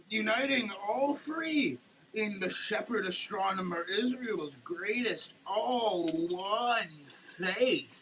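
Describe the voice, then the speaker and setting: A man giving a comedic dramatic reading of a product label, theatrical and over-emphatic, with halting delivery. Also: high voice, gravelly, sharp tone, a little hiss or hum, poor audio. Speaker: comedic performer.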